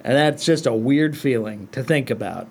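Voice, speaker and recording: A man's voice: mid-range voice, expressive, normal speed, darkish tone, breathy edge. A man in his thirties co-hosting a studio talk show, speaking thoughtfully and candidly. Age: 30s. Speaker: co-host of detailing talk show. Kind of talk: casual studio chat.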